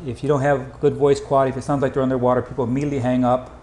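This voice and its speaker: Guy doing a hurried slide pitch, mellow voice, roomy echo and a bit of noise. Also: flat delivery. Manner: hurried, clipped phrasing. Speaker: company sales presenter. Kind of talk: informal slide presentation pitch. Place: indoor room, voice-over for slides.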